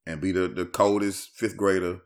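A man's voice: deep voice, flat delivery, normal speed. A man co-hosting a podcast, bantering casually in slang with an incredulous, reactive manner. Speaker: podcast co-host.

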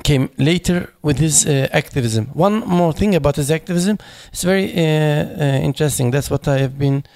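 A man speaking at a memorial panel, halting and searching for words, with many fillers and restarts in English that is not his first language. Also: on the high side, a little mellow. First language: not English; foreign accent in Turkish noted.

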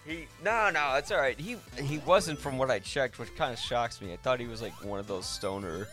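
A man's voice: mid-range voice, moderate pace, fairly bright tone, faint music behind. A man hosting a podcast, talking casually about films in a rambling, thinking-aloud way. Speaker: podcast host. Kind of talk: casual film discussion.

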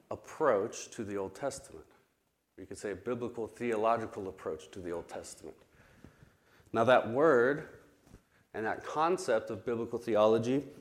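Man teaching Bible study, recovering voice. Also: medium pitch. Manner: explanatory, with frequent restarts and pauses. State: recovering voice after illness.

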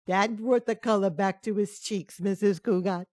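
An actress playing a loyal, plain-spoken housemaid who is eager to help, in an old-time radio sitcom. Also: female voice, medium pitch, fairly even intonation, normal speed, a little mellow, dry recording, studio quality, lightly acted. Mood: wry.

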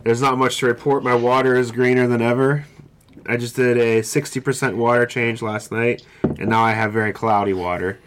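A man's voice: medium pitch, steady tone, a little fast, fairly bright tone, a little hiss or hum. A man co-hosting a casual podcast, talking in a laid-back, dry way. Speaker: podcast co-host. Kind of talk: casual chat.

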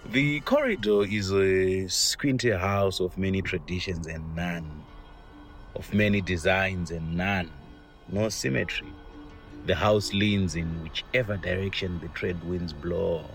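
A man performs a dreamy, hesitant inhabitant of a radio play. His voice is very low and gravelly, with plenty of rise and fall. He speaks slowly and deliberately, as if musing in verse. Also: heavily acted. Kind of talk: poetic radio play monologue.

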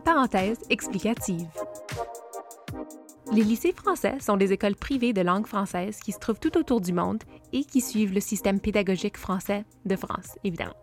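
A woman hosting a family podcast, narrating in clear explanatory tones and interviewing her cousins. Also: medium pitch, normal speed, faint music behind. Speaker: podcast host.

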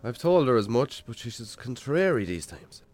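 An actor playing a weary, put-upon Irish bachelor farmer in a naturalistic radio drama, with a dry, sardonic manner. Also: male voice, medium pitch, very animated, normal speed, darkish tone, no echo, soft background music. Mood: wry, friendly. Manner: wry, sardonic, grudging.

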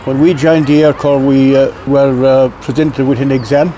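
Older irish man with a clare accent, mellow voice, rambly stories with little laughs. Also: mid-range voice, little pitch movement, normal speed, slight background noise. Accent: Irish, County Clare. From Ireland. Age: 70s. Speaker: interviewee. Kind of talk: reminiscing, casual storytelling.

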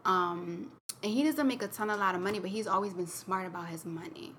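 A woman's voice: medium pitch, brisk, fairly bright tone, a little hiss or hum. A young woman co-hosting a podcast, sharing her own story in a candid, reflective way, with lots of fillers and "like". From Connecticut, US. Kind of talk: personal storytelling in a casual chat.

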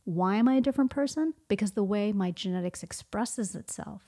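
A woman's voice: mid-range voice, brisk, mellow. A woman speaking as a guest in a podcast conversation, reflective and posing questions that she then answers herself. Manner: reflective, poses and answers her own questions.

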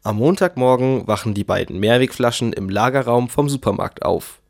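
A man's voice: medium pitch, normal speed, vocal fry. A man narrating a scripted children's story as the storyteller of a radio play.

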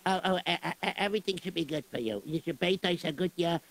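A bumbling male servant, played by a man, who stammers and hunts for his words. His voice sits a little high with a creaky, gravelly edge. He sounds like a muddled character from an amateur radio play. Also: fast talker, a bit bright.

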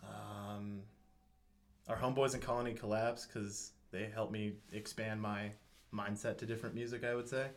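A man with a low voice, a band vocalist guest talking bluntly and swearing casually. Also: flat delivery, moderate pace. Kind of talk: casual interview chat.

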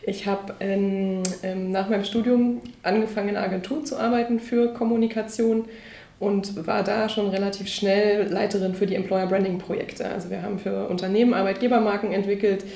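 A woman's voice: mid-range voice, steady tone, brisk, gravelly, warm tone, echoey room. A woman from Berlin, an employer branding consultant, explaining her work in an interview with many fillers. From Berlin, Germany. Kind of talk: interview answers.

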